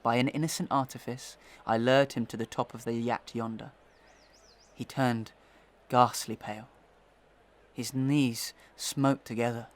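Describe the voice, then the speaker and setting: Man acting a determined, formal gentleman accuser. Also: medium pitch, little pitch movement, unhurried, natural voice. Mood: suspicious, dramatic. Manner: formal, dramatic storytelling. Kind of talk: Victorian melodrama audio acting.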